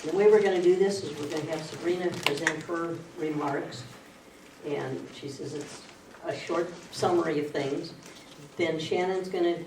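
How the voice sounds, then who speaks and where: A moderator introduces the evening's speakers in a plain, friendly way, with small joking asides. The voice sounds far from the mic in an echoey room, with clear background noise. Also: mid-range voice, a little mellow. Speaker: moderator for the organizing group. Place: community meeting room. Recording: echoey room audio recorded from afar, with noise.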